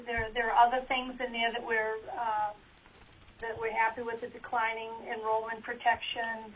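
Flat-voiced woman on a hissy line. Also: on the high side. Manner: plain, hesitant with fillers. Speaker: school board member. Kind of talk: testimony at a legislative hearing. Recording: lo-fi teleconference line with faint hiss.

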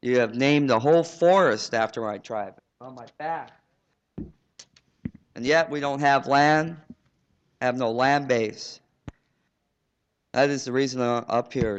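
A man's voice: mid-range voice, clean tone. Man from southeast Alaska, earnest hearing testimony, resonant voice, dull lo-fi tape. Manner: earnest, halting, with restarts. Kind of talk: public testimony. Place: public hearing room.

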